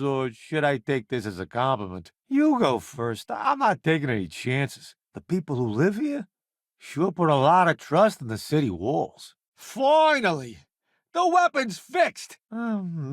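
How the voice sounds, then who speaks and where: Flamboyant foodie ship's cook in a space game, full of himself, wry, slow drawn-out delivery, super dry close recording. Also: male voice, on the high side, no echo. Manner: theatrical, wry, self-promoting, drawn-out emphatic delivery. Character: flamboyant, vain celebrity food critic turned spacefaring ship's cook. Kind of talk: video game companion voice acting.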